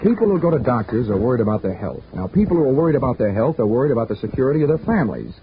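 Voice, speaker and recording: A man's voice: mid-range voice, big pitch swings, rapid-fire, noisy background. An actor playing a wisecracking, flirtatious male insurance investigator in a 1940s radio drama, with a wry, teasing delivery. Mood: flirty. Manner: wry, teasing, smooth-talking. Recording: muffled 1940s radio broadcast.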